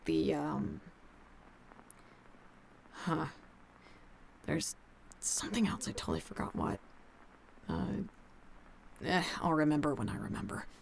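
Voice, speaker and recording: An actress playing a tired, blunt scientist in an audio drama, talking in a terse, deadpan, conversational way. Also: female voice, low voice, takes it slowly, gravelly, long pauses, slight background noise, full character voice. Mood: uneasy, flat. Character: tired, blunt female scientist doctor, dryly uneasy.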